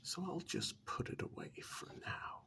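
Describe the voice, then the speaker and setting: A man playing a menacing, soft-spoken ex-military club boss in an ASMR role-play, talking in a breathy half-whisper with deliberate pauses. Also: natural voice. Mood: calm menace. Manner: slow, deliberate, quietly menacing with drawn-out pauses.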